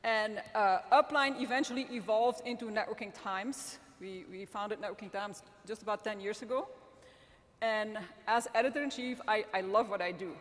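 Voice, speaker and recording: Middle-aged woman from Belgium, non-native English, conference speaker, earnest with restarts, mid-pitched, echoing hall. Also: moderate pace. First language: not English. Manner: earnest, warm storytelling with frequent restarts. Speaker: magazine editor-in-chief speaking at a summit. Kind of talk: conference talk to a live audience. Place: large event hall. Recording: long hall reverb.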